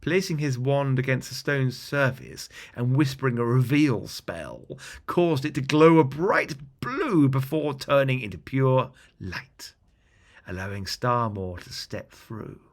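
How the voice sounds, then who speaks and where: A man narrating a scripted fantasy bedtime story as a storyteller, with dramatic, deliberate phrasing. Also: medium pitch, lively intonation.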